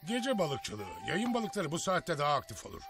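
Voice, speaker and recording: A kindly, easygoing old cartoon grandfather. The voice is gravelly and a little distant, with music clearly behind. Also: on the high side, big pitch swings, moderate pace. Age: older. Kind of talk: animated cartoon dub acting.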